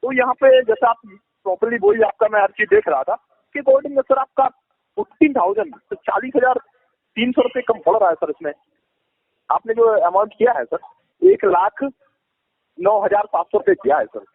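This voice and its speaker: A man making a phone sales pitch, insistent, repetitive and evasive. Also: very animated, moderate pace, vocal fry, pauses often, slightly rough. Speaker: advisory company agent on a sales call. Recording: tinny, echoey phone call recording.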